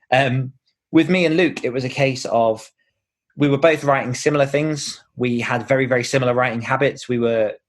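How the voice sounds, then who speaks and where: A man with a British accent speaks at an average pitch. He explains things informally, as a guest does in a relaxed podcast conversation. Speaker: author and podcaster as interview guest.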